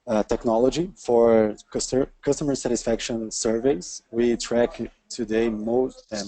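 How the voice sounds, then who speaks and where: A man who runs a tech company, introducing it in plain, halting English. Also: medium pitch, dark tone, low-quality recording.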